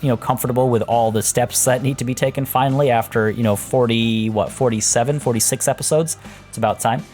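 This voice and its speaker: Low-voiced man chatting through gameplay. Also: a little mellow. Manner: rambling, self-correcting, chatty with viewers. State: losing his voice. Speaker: let's play host. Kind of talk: casual gameplay commentary.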